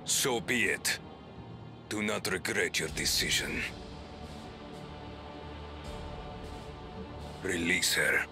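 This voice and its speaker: An actor playing a proud, cold usurper king in a fantasy video game, haughty and taunting in a theatrical, deliberate manner. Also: low voice, music in the background. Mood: stern.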